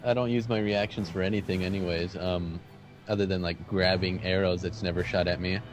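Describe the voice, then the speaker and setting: A man's voice: low-pitched, fairly even intonation, fairly quick. A man chatting casually and jokingly as a player in a tabletop game. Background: background music.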